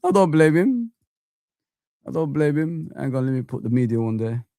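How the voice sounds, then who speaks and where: A man's voice: fairly high pitch, big pitch swings, moderate pace, warm tone, dry recording, studio quality. A young man hosting a live fan chat stream, ranting heatedly and repeating himself.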